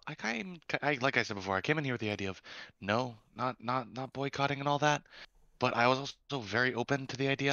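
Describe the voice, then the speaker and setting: A man with a French Canadian accent, French-speaking by birth, talking as a panelist, halting and stumbling over his words. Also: mid-range voice, bright, forceful tone, dry recording, muffled recording. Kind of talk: casual debate chat.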